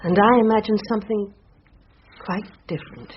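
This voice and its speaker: A woman's voice: mid-range voice, steady tone, normal speed, natural voice. An actress playing a curious, earnest young woman in a radio drama. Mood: puzzled, concerned. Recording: dull lo-fi radio sound, noisy.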